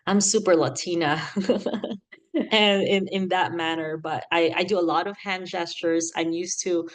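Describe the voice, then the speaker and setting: A woman in her thirties from the Philippines, with a Filipino accent that leans American, giving animated, chatty podcast advice and laughing often. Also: fairly low pitch, unhurried. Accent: Filipino, with an American lilt. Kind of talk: casual advice talk.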